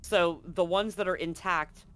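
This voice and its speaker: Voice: medium pitch, fairly even intonation, a little slow, fairly bright tone, clean tone. A game master explaining a tabletop roleplaying game in a conversational, explanatory way.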